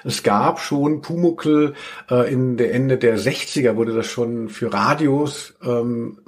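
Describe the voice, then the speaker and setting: A man's voice: medium pitch, slow. A man hosting a podcast in casual chat, rambling and often correcting himself as he goes. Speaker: podcast host.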